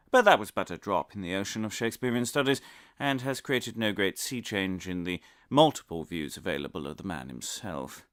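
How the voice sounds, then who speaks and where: Pompous low-voiced professor, swooping delivery. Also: male voice, a bit bright, no room sound, studio quality, exaggerated voice. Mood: self-important, hopeful. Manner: pompous, theatrical, digressive, emphatic. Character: earnest, pompous, muddled male academic with conspiracy obsession. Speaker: lecturer. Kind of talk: comic monologue delivered as a scholarly lecture.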